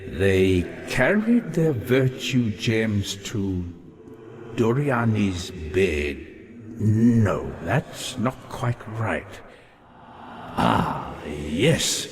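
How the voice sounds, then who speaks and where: A man's voice: mid-range voice, heavily acted. An actor voicing a scholarly, ghostly male librarian in a fantasy game, speaking in an erudite, florid, musing manner with fascination and melancholy. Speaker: non-player character in a fantasy game. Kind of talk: theatrical game dialogue, reading and translating old texts. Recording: long hollow reverb, noticeable background hiss.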